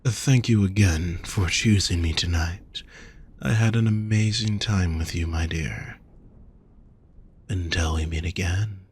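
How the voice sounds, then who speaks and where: A man voicing a gentle, teasing, affectionate demon in an intimate audio roleplay, speaking softly and tenderly to the listener. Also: low-pitched, husky, exaggerated voice. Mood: warm, fond. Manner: soft, unhurried, tender teasing.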